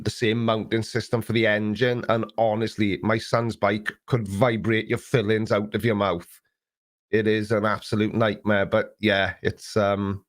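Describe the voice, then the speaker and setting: A middle-aged man hosting a live Q&A stream, chatting casually in a rambling, sarcastic, self-mocking way. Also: fairly low pitch.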